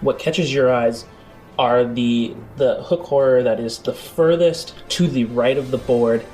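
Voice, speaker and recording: A man runs a tabletop roleplaying game as game master, with an average-pitched voice. He sets scenes dramatically yet conversationally, and music plays softly behind him. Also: a little slow. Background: background music.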